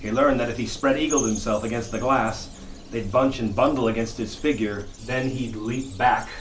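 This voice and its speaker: A man's voice: medium pitch, fairly even intonation, normal speed, close to an everyday voice. An actor playing a grim, haunted lighthouse keeper, telling his story with dramatic suspense in an audio horror drama. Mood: grim recollection. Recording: echoey, noisy radio play sound.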